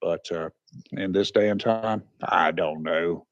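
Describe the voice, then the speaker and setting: A man's voice: sits low, slow pace, no room sound, muffled recording. A man hosting a local radio talk show. He rambles folksily with frequent restarts and sounds congested. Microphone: studio microphone.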